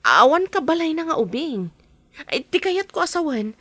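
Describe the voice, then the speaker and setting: A woman's voice: high voice, sing-song, moderate pace, a bit bright, no room sound. A woman acting a concerned aunt who gives frank counsel in an Ilocano audio drama. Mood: explaining. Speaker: actor in a drama.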